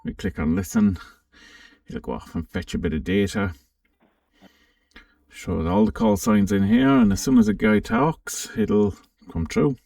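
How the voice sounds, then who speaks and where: Deep, creaky-voiced man in a roomy space. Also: big pitch swings, measured pace, dark tone, studio quality. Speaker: amateur radio channel host. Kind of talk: casual software walkthrough. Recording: roomy, distant microphone.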